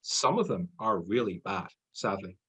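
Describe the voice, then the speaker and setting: Irish man, podcast guest, husky voice, chatty and self-deprecating, laughs easily. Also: low-pitched, expressive, moderate pace, fairly bright tone. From Ireland. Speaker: guest, sales trainer. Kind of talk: casual podcast interview.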